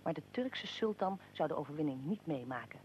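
A woman's voice: deep voice, animated, fairly quick, a little mellow, a little hiss or hum. A woman reading scripted historical narration as the narrator of a radio drama.

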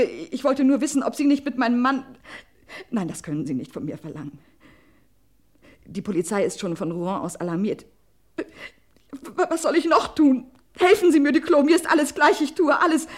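An actress playing an anxious, pleading wife in an old German radio crime play, agitated and urgent, often breaking off mid-sentence. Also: female voice, a little high. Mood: desperate pleading. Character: anxious, pleading wife desperate to save her husband.

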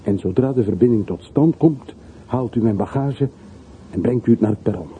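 A man's voice: medium pitch, normal speed, lightly acted. A man acting in a radio play as a wistful, well-off middle-aged man who speaks in short, brooding, confessional sentences. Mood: insistent. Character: wistful middle-aged man of forty-three, wealthy returned emigrant. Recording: muffled phone-like old radio recording, hissy.

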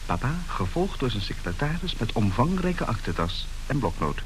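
An actor playing the chatty, amused son of a rich family in a radio play, gossiping with relish. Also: male voice, medium pitch, very animated, normal speed, lightly acted. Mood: dryly mocking. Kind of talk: radio drama acting. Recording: hissy old radio drama recording.

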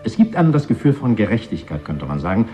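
An actor in a radio play voicing a zealous, pedantic linguist who lectures with emphatic, self-satisfied conviction. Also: male voice, fairly low pitch, big pitch swings, fast, dark tone. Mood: smug enthusiasm. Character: zealous, pedantic male linguist, fanatical party believer. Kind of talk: German radio play acting. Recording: dull radio production with music.

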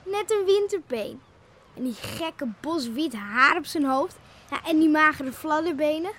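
High-voiced, animated village girl. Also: unhurried. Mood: chatty, teasing. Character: lively outspoken young village schoolgirl, curious and teasing. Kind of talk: Dutch radio play acting.

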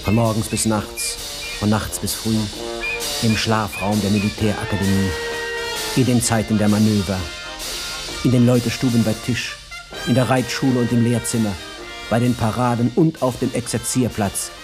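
An actor as narrator of a German radio drama, telling the story in a measured, intimate, expressive literary style. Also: male voice, lowish voice, music in the background, natural voice. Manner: measured, expressive, savouring details.

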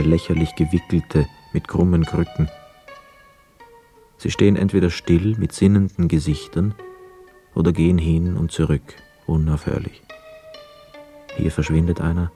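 An actor playing a brooding, observant lodger who narrates a radio play in a measured, lyrical, melancholy way. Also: male voice, low-pitched, level tone, warm tone, faint music behind. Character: brooding, observant male hotel lodger narrating his tale.

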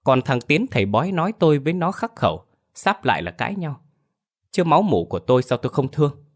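A man voicing a sharp-tongued elderly mother-in-law who argues in a whiny, defensive way. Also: very animated, fast talker. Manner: shrill, whiny, argumentative.

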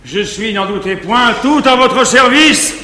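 An actor playing a jealous middle-aged guardian, declaiming classical verse in a fretful, scheming way on a theatre stage. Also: male voice, extremely high pitch, lively intonation, unhurried, pushed and bright, full character voice. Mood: falsely warm. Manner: fretful, then falsely obliging declamation. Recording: echoey old theatre recording with hiss.

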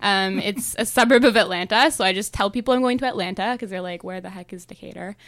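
A woman's voice: medium pitch, dry recording. Young woman poet chatting, lots of ums, dry close mic. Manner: chatty, many ums, quick asides. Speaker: slam poet guest. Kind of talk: casual interview chat.

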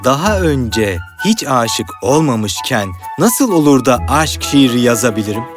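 A man's voice: mid-range voice, big pitch swings, unhurried. A man voicing an earnest, scatterbrained, melodramatic poet in a cartoon fairy tale. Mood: despairing. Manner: melodramatic, pleading. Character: earnest, dreamy, scatterbrained lovesick male poet. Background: music.

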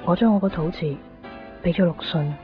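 A woman's voice: fairly low pitch, moderate pace, lightly acted. A woman playing a wistful, innocent young woman in love in a radio drama, dreamy and confiding. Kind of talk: Cantonese radio drama narration and dialogue. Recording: dull lo-fi radio recording, music behind.

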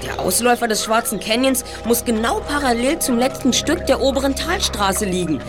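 A woman's voice: fairly high pitch, animated, brisk, over music, close to an everyday voice. A woman playing a clever, self-assured boy detective in an audio drama, precise and explanatory.

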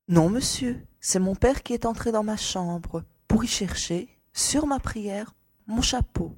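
A woman playing a composed young lady, a scientist's daughter, giving formal, precise testimony in an audiobook drama, slightly husky. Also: mid-range voice, measured pace, a little mellow, no room sound, studio quality. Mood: composed, reserved. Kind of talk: audiobook character acting.

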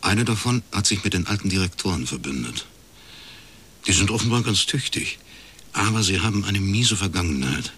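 An actor playing a shrewd, dry-witted private detective in a radio crime drama, laconic and deadpan. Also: male voice, deep voice, moderate pace, a little hiss or hum. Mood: dry, knowing. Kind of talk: naturalistic German radio crime drama acting.

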